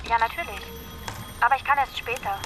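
A woman's voice: a little high, brisk, background noise, close to an everyday voice. An actress playing a playful, coy young woman teasing her sweetheart in a naturalistic German radio play. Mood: playful, evasive. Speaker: actress in a radio crime drama.

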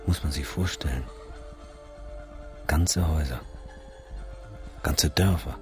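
A sardonic, sombre photographer tells his memories in a very deep voice. The delivery is measured and laconic, in radio drama style over clear music. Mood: grim, sardonic. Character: sombre, sardonic male photographer recalling a disaster.

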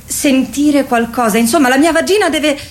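An actress plays a candid woman opening up in a group therapy radio play. Her voice sits high. She tells her intimate memories haltingly, then leans on words with emphatic repetition. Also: lightly acted. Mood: animated, candid. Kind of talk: radio theatre monologue.

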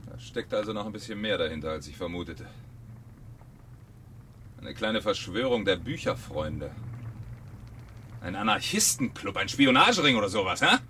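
An actor playing a taunting, theatrical and menacing fire chief in a German radio play from 1970. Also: male voice, on the low side, sing-song, normal speed, pauses often, sharp tone, slight background noise. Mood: sneering interrogation. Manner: mocking, needling, theatrical.

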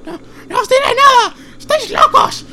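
A woman's voice: extremely high pitch, lively intonation, moderate pace, very noisy. A woman playing a vain, dazzling good witch in a theatrical, self-admiring way for a comic audio drama. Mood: panicked, defiant.

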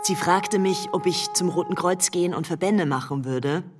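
An actress plays a worldly young society woman telling her memories in a radio play. Her voice is low and composed, and music plays clearly behind her. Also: normal speed, close to an everyday voice. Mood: reminiscing, composed. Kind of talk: radio play storytelling in German.

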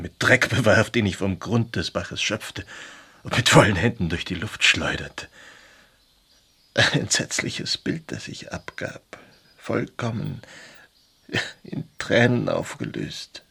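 An actor playing a melancholy, haunted writer confiding in a friend by letter in a German radio drama, intimate and brooding. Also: male voice, on the low side, fairly bright tone, breathy edge, full character voice. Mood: agitated, bitter.

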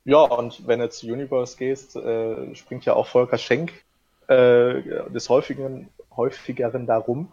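A man's voice: medium pitch, flat delivery, normal speed, a little mellow, highs cut off. A man guesting on a casual podcast, talking eagerly and rambling with many fillers. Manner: enthusiastic, rambling, laughs into sentences. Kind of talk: casual chat.

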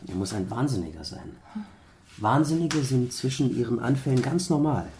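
A man playing a lively young policeman, roomy. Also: mid-range voice, normal speed, a little hiss or hum, natural voice. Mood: knowing. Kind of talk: German radio play acting.

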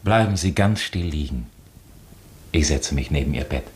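Very deep, husky, earnest chaplain. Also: male voice, animated, normal speed, exaggerated voice. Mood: solemn, earnest. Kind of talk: German radio play acting.

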